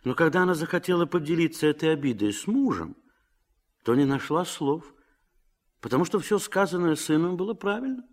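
A man narrating a literary radio drama as a gently ironic storyteller. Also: fairly high pitch, animated, fairly quick. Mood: wry, reflective.

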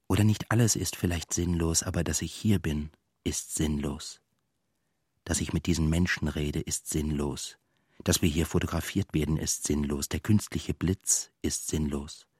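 A male actor playing a disillusioned, lonely composer, narrating his thoughts in a measured, literary, brooding way for a radio play. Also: rumbling low, a little mellow, breathy edge, no room sound, studio quality, heavily acted. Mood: despairing, resigned.

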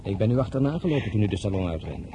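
A man's voice: lowish voice, lively intonation, rapid-fire, noisy background, natural voice. A man playing an earnest, blunt French general of thirty-one in a Dutch drama, courting in a direct, insistent way. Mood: concerned. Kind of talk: Dutch radio drama acting.

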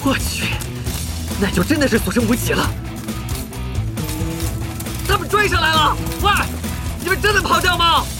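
High, excitable young male gamer. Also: normal speed, pauses often. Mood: wary, indignant. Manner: exclamatory, animated. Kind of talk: Chinese radio drama acting. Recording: background music under the voice.

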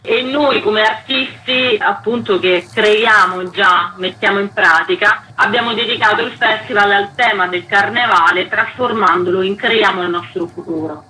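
A woman giving a radio interview as a festival curator. Her voice is bright and forward at a medium pitch, and she explains in a conversational style. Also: normal speed, hardly any pauses, rough recording.

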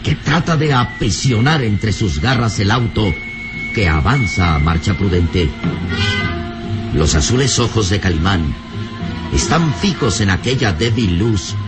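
A man's voice: fairly low pitch, animated, unhurried. A man playing the solemn, theatrical narrator of a suspenseful adventure radio serial. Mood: ominous. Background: music.

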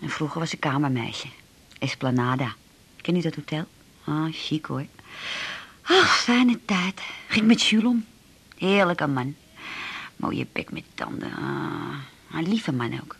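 Krakerige, praatgrage volksvrouw. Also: female voice, deep voice, big pitch swings, a little slow, creaky, pauses often, a bit bright, husky, exaggerated voice. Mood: nostalgic, chatty. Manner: earthy, effusive, rambling storytelling with exclamations. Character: chatty, down-to-earth working-class woman, former chambermaid. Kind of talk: radio drama acting.

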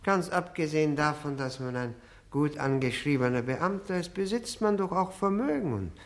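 An actor in a radio play voicing a drunken, servile middle-aged widower, faltering and slyly polite. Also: male voice, on the high side, expressive. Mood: sly, subdued. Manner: obsequious, halting, slyly polite with smirking undertone. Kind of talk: German radio play acting.

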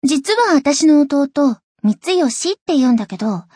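A woman's voice: high voice, big pitch swings, a little slow, darkish tone, dry recording, clean studio sound. A woman voice acting a self-assured teenage big sister in an anime game, scolding and teasing yet doting. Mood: smug, exasperated. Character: bossy, teasing teenage big sister, swim club captain.

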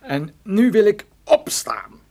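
An actor playing a wounded, irascible and flirtatious private detective in a radio play, with a sarcastic, grumbling delivery. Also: male voice, sits high, sing-song, takes it slowly, gravelly, darkish tone, heavily acted. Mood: amused, lively.

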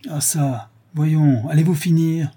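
A man's voice: mid-range voice, normal speed, dark tone, breathy edge, voice pushed up, close to an everyday voice. A man voicing a weary, exasperated poor father in a storybook reading, pleading and scolding. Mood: menacing shouting. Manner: pleading, scolding, raising his voice.

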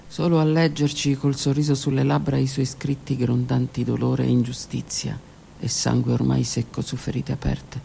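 A middle-aged actress delivers a stage monologue, dropping into hushed whispers and rising into loud, declaimed passages. Her voice carries a gravelly edge, with a faint hiss in the background. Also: a bass voice. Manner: dramatic, swings from hushed whispers to raised declaiming. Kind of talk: theatrical monologue. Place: radio studio.

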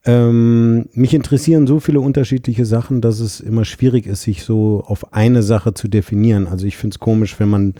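A man's voice: mid-range voice, steady tone, a little fast, hardly any pauses, dark tone, dry recording. A man from Heidelberg, a guest painter and designer, answering hesitantly with many filler sounds and some dry humour. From Heidelberg, Germany. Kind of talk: casual interview. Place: studio.

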